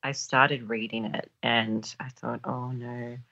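A woman with an Australian accent and a low, husky voice, chatting casually as an author being interviewed, in a self-deprecating, giggly way. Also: level tone, slow pace, pushed and bright, dull audio. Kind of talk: casual chat.

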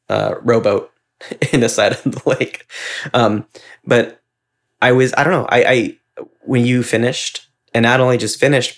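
A man with a fairly low voice co-hosts a conversational podcast. He speaks at length in an earnest, effusive way, casual and reflective as he goes. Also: vocal fry. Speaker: podcast co-host and ad maker.

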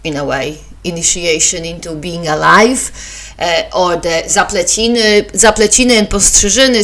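Slow Polish-accented woman hosting a podcast. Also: on the low side, a bit bright. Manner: hesitant, many fillers and restarts, self-deprecating. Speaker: podcast host. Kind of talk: casual solo podcast talk.

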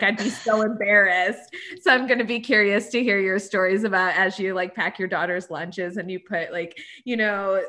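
A woman interviewing in a casual, chatty way, telling stories with lots of fillers. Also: medium pitch. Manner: chatty, animated storytelling with fillers.

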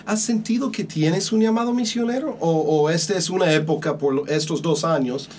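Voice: medium pitch, normal speed, a little mellow, a little hiss or hum. A host interviewing guests in Spanish, upbeat and often repeating words as a sentence starts.

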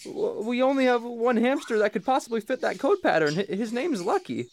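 A woman's voice: a little high, lively intonation, fairly quick, slight background noise. A woman playing a polite, hesitant young male pet shop clerk in a comic audio drama. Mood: nervous. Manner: stammering, hesitant.

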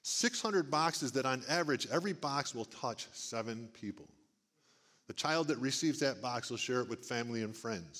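Man with a big booming churchy voice. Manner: warm folksy preaching, big booming voice. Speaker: lay church speaker. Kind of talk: church talk to congregation. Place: church sanctuary. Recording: long reverb of a church hall. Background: church hall.

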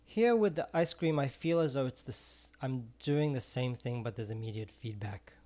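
A man's voice: mid-range voice, normal speed, darkish tone. Man, middle-aged, from Israel, earnest, repeats words, casual interview. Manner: earnest, repeats words while searching for phrasing. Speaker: ice cream vendor and former music teacher.

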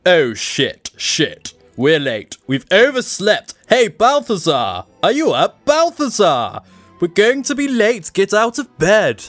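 A man acts out a very posh Englishman in a comic audio play. He is fussy and slightly camp, with a lively swooping pitch, and music plays faintly behind him. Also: slow, dry recording, heavily acted. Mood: urgent, fussing. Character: very posh young man fussing about being late, slightly camp.

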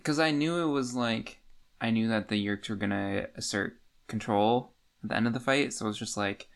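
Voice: mid-range voice, normal speed. A podcast co-host chatting casually about a book in a dry, matter-of-fact way. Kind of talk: casual book discussion chat.

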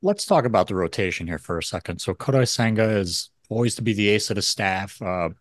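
A man's voice: on the low side, lively intonation, brisk. A man co-hosting a sports podcast, steering a conversational interview with questions.

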